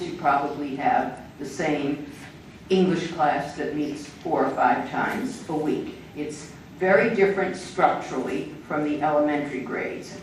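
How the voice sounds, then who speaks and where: A woman's voice: deep voice, darkish tone. Older woman lecturing in an echoey hall. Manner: measured, explanatory, with small laughs. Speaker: invited speaker on education standards. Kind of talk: public lecture. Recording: echoey hall, distant mic, faint noise.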